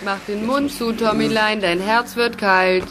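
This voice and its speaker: A woman's voice: mid-range voice, fairly even intonation, measured pace, heavy background noise, lightly acted. An actress playing a teasing, cheeky young woman in a German radio play. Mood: teasing, urging. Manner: teasing, playful, pert. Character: teasing, cheeky young female companion of a reporter.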